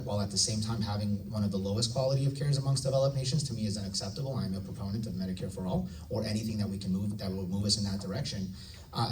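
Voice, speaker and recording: A man's voice: lowish voice, a little mellow, reverberant, distant mic, a little hiss or hum, rough recording. A man in his thirties giving an earnest campaign speech at a candidate forum. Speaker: congressional candidate. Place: large event hall.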